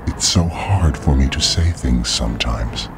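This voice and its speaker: A man in an audio drama playing a hushed, manipulative inner voice that coaxes and commands deliberately. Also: very deep voice, noisy background. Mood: confiding. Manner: deliberate, intimate, urging.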